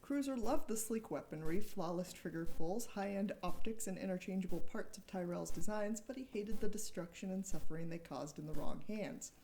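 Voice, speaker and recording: A woman's voice: mid-range voice, normal speed, hardly any pauses, mellow, rough recording. A woman author giving a dramatic narrated reading of her thriller novel. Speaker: author reading own book.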